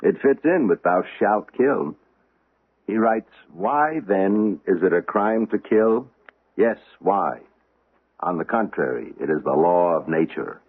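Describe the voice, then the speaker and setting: Low, rough-edged American police lieutenant. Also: male voice, animated, takes it slowly, phone-quality sound, exaggerated voice. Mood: grave. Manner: blunt, plain-spoken. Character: earnest, impatient police lieutenant, plain-spoken brother. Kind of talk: radio drama acting. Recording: thin band-limited old radio recording.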